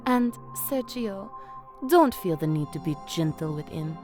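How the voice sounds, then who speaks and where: Vain crime boss, mock-polite, theatrical, menacing, animated intonation, audio drama, music underneath. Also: female voice, on the low side, unhurried. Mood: cold condescension.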